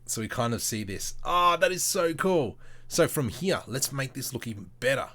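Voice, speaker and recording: A man hosting a casual live software tutorial, thinking aloud in short, informal, slangy step-by-step phrases. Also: medium pitch, sing-song, normal speed. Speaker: tutorial host, livestreamer. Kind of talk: casual live screen-share tutorial commentary.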